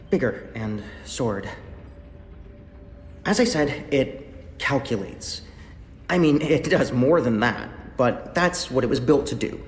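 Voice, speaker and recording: A man's voice: medium pitch, echoey room, long reverb tail. An actor playing a nervous, rambling young male engineer in a video game, who stammers and trips over his words. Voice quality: stammering, flustered, talkative. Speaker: lead engineer character.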